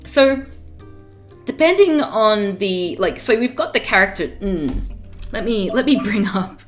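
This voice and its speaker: A woman's voice: medium pitch, expressive, a little slow, soft background music. A woman streaming a game, chatting casually and rambling with frequent laughs; her first language was Fiji Hindi. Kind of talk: casual livestream chat while playing a game.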